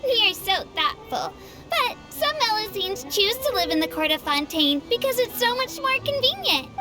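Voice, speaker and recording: A woman voicing a small, cheerful, childlike fairy creature in an anime game, squeaky, bubbly and polite. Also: very animated, moderate pace. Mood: sweet, helpful. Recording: music behind the voice.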